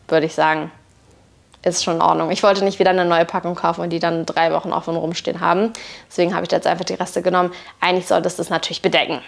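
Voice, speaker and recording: Young woman, casual vlogger. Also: medium pitch. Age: 20s. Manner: chatty, colloquial, easygoing. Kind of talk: casual meal prep vlog narration. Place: indoor room at home. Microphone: clip-on wireless lapel mic.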